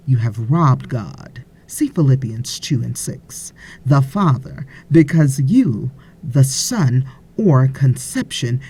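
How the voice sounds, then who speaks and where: A woman reads a spiritual text as an audiobook narrator. Her voice is mellow and a little breathy and husky. She phrases deliberately, with pauses, and moves her pitch expressively across each sentence. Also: rough recording. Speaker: audiobook reader.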